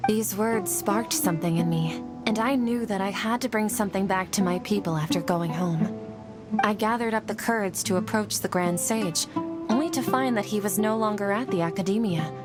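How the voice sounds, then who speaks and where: Loud music plays under a woman acting as an idealistic young-hearted scholar from a video game. She speaks at a medium pitch, measured and sincere, like a devoted teacher. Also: close to an everyday voice. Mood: reflective. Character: earnest female desert scholar turned idealistic teacher. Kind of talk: scripted video game dialogue.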